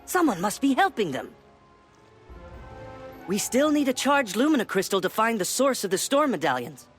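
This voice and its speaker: A man playing an eager, slightly smug young student wizard in a video game, expressive and bookish. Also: very high-pitched, normal speed, soft background music. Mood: excited. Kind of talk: video game character acting.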